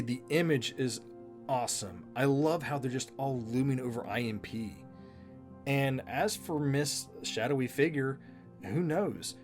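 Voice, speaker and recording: Casual commentary co-host, enthusiastic, highly varied intonation, music bed behind. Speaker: video co-host. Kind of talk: conversational video commentary.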